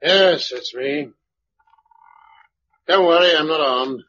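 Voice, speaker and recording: An actor playing a humbled, worn-out former leader in a radio drama, rueful and plain-spoken. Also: male voice, on the high side, slow pace, sharp tone, exaggerated voice. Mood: rueful, defeated. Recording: dull, muffled radio recording.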